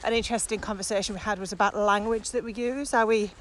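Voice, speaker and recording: A woman's voice: mid-range voice, fast. A woman co-hosting a podcast, talking casually and enthusiastically as she walks outdoors. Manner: enthusiastic, animated about the subject. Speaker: podcast co-host and virtual training coach. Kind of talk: casual conversation recorded while walking. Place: outdoors, walking on a muddy path. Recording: outdoor background noise, faint traffic.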